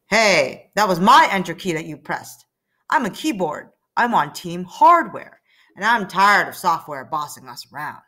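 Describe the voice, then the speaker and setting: A woman's voice: on the low side, sing-song, a little slow, sharp tone, heavily acted. A woman voicing a weary, aggrieved, grumbling computer character in a children's storybook read-aloud.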